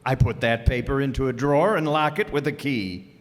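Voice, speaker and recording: A man's voice: medium pitch, a little fast, natural voice. A man playing a pompous, curt, fussy middle-aged businessman in a radio play. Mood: pompous, irritated. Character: pompous, brusque, greedy middle-aged businessman counting stars. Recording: echoey hall reverb.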